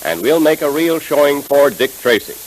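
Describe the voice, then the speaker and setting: A man announcing in 1930s radio style, making an emphatic, enthusiastic scripted pitch to children. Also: lively intonation, moderate pace, poor audio. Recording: hissy 1930s radio recording.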